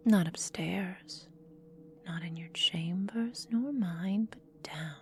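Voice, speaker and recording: Casting: woman to play seductive, cruel vampire mistress for ASMR roleplay. Soft, dark-toned breathy whisper, unhurried, possessive menace; faint noise behind. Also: on the low side. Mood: sinister, teasing. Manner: slow, teasing, menacing, drawn-out phrasing.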